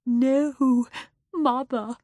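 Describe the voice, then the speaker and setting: A woman voicing a big, meek, frightened grown daughter in a storybook, speaking haltingly. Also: sits high, steady tone, no room sound, pitched above the performer's own voice. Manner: timid, halting, hushed.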